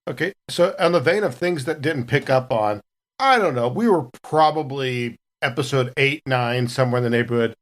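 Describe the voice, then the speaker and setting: A man's voice: medium pitch, normal speed. A middle-aged man, a veteran gamer on a podcast, chatting casually in a folksy, rambling way. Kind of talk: casual group chat.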